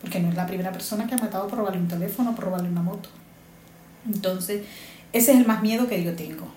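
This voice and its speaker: A woman's voice: medium pitch, quick pace, warm tone, slight background noise. Earnest woman in an echoey room. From Honduras. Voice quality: earnest, repeats herself for emphasis. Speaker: interviewee, migrant mother. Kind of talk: earnest personal testimony. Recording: echoey room with faint ambience.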